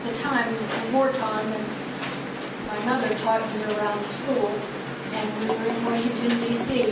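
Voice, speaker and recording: Very noisy recording, older woman, rather high voice, rambling informal reminiscence. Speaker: relative sharing childhood memories. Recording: very echoey, noisy, distant microphone.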